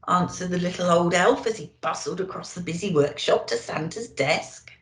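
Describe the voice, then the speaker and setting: Slow, animated woman storyteller. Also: medium pitch. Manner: expressive, addressing young children. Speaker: storyteller in character as an elf. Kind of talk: children's story reading. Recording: roomy echo.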